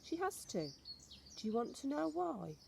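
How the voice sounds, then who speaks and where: A woman's voice: sits high, very animated, moderate pace, creaky, darkish tone, voice pushed up, heavily acted. A woman voicing a stern, knowing old grandmother who instructs emphatically and ominously in a storybook reading, with some noise on the recording.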